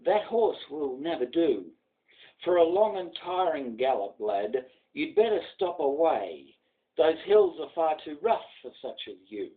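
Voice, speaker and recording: A man's voice: on the high side, very animated, measured pace, phone-quality sound. A man with an Australian accent reciting a poem as a stern old bush horseman, commanding and blunt. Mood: dismissive.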